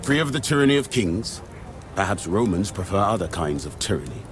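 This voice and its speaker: An actor playing a grim, blunt gladiator from Mauretania, terse and solemn, in a role-playing game. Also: male voice, sits low, very animated, brisk, over music. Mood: wry. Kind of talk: scripted game dialogue.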